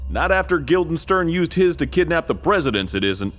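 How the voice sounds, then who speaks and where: A man voicing an earnest military major who gives deadpan mission briefings in a comic video game. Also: fairly high pitch, a little fast, faint music behind. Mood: reassuring, commanding.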